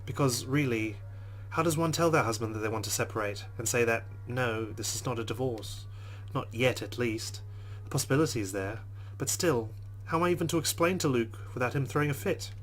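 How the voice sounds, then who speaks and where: A man's voice: mid-range voice, a little fast, few pauses, a little hiss or hum, low-quality recording. A man playing a visual novel, reading its narration aloud and adding casual, joking asides. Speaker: let's play gamer. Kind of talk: reading game text aloud with commentary.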